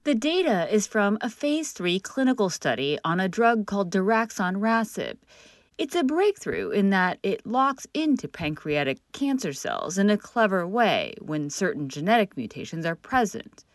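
A woman correspondent delivering a health news report in an expressive reporting tone. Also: mid-range voice, normal speed, no echo, clean studio sound.

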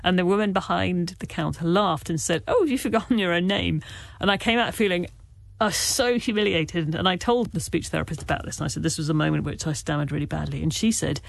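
A man from Wales being interviewed as an author, speaking with a stammer in halting, earnest, reflective stories full of restarts. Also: sits high, vocal fry, no room sound. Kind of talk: candid conversational interview.

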